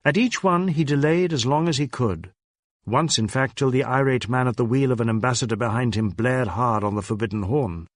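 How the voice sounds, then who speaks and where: A man reading a crime novel as scripted dramatic narration, in a measured, literary storytelling manner. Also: mid-range voice, dry recording, clean studio sound. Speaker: audiobook narrator.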